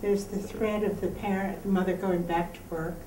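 A woman in the audience calmly adding a point in a book discussion. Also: medium pitch, moderate pace. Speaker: audience member. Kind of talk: casual discussion. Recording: echoey room from a distance, hiss.

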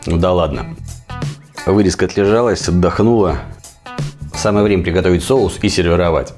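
Low, hoarse man hosting a cooking show. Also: moderate pace, darkish tone. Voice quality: hoarse, husky. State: hoarse from a cold. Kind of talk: casual recipe instruction. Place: home kitchen. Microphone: lavalier clipped to T-shirt. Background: guitar music.

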